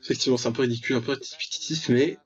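A man's voice: mid-range voice, steady tone, racing, highs cut off. A young man giving casual, slangy let's play commentary, with mock-dramatic, exclamatory reactions. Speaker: gaming video host.

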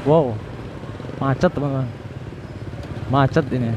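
A man giving casual, unhurried riding commentary as a motovlogger, with little asides to himself and his viewers. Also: mid-range voice, steady tone. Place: outdoors, riding a motorbike through city streets. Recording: dull lo-fi audio with clear traffic noise. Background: vehicle engines and street traffic noise.

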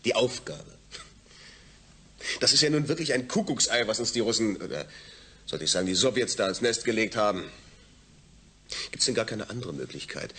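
Skeptical astronaut, radio drama, lowish husky voice, thinks out loud. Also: male voice, expressive, moderate pace, pauses often, exaggerated voice. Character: experienced male astronaut, thoughtful, reserved, skeptical. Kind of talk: German radio drama acting.

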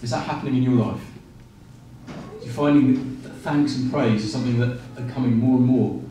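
A man in his forties preaching a sermon with animated gestures and frequent fillers and restarts. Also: mid-range voice, brisk, a little hiss or hum. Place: small room with blinds, indoors. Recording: very echoey room, from a distance.

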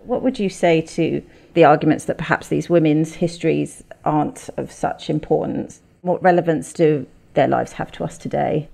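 A woman hosts a podcast in a deep, dark-toned voice with noticeable fry. She moves between interview questions and scripted linking narration. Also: fairly even intonation, normal speed, hardly any pauses.

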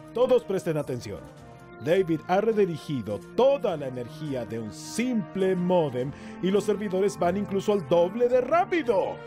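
A man's voice: high-pitched, sing-song, a little slow. A man playing a jovial, effusive older company boss in a cartoon dub. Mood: jovial, proud. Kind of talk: cartoon fan dub acting. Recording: music behind the voice.

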